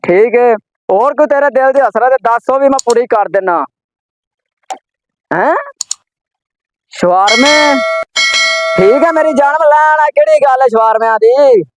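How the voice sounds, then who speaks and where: A man's voice: very high voice, moderate pace, pauses often, noisy background. A man playing a wayward, easily led son in an amateur moral skit, with a Pakistani Punjabi accent and emphatic, repetitive speech. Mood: eager to please. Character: wayward, wife-pleasing son who abandoned his father.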